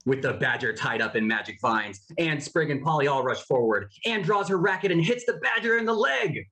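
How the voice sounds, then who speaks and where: Lively narrator of cartoon stage directions. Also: mid-range voice, a little fast. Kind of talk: scripted narration of stage directions at table read.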